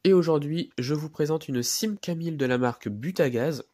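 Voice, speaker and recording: Guy with a cold, nasal, lots of ums, dark mellow tone, dry close sound. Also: on the high side, normal speed, no room sound. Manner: hesitant, many fillers, repeats words while handling the model. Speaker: miniature car collector presenting a model. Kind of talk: casual video presentation.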